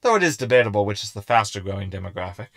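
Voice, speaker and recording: Guy doing a snarky cranky robot sidekick, sci fi radio comedy, wordy n mocking, bouncy pitch, dry sound. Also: very animated, very fast, a bit bright, no room sound. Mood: dry, curious. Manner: sarcastic, verbose, mocking. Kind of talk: sci-fi comedy audio drama acting.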